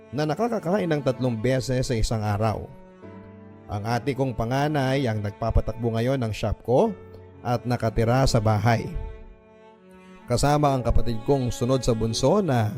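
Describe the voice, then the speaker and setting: A man reading a listener's letter aloud as a first-person story, in a measured and earnest way. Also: medium pitch, dark tone.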